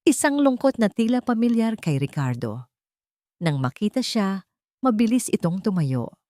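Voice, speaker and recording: A woman with a Filipino accent reading a dramatic story as an audiobook narrator, solemn and expressive with deliberate pauses. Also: medium pitch, dry recording, clean studio sound.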